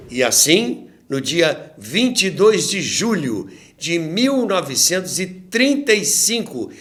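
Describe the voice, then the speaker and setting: A man's voice: a little high, a bit bright. A Brazilian man hosting and narrating radio history as a storyteller, with theatrical rhetorical questions. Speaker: podcast host and narrator.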